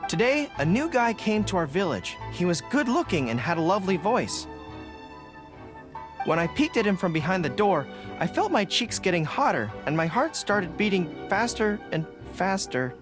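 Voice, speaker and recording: A man's voice: high-pitched, sing-song, normal speed, over music. A young man playing an earnest, awkward, easily flustered dog-eared general in an anime-style video game. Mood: reading aloud. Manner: earnest, hesitant, boyish.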